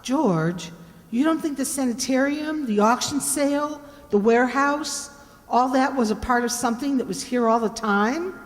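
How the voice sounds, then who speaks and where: A woman playing a detective's inquisitive, loyal and excitable assistant in an old-time radio drama, with dramatic, questioning delivery. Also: high-pitched, moderate pace, lightly acted. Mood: puzzled. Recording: reverberant hall, stage-like sound.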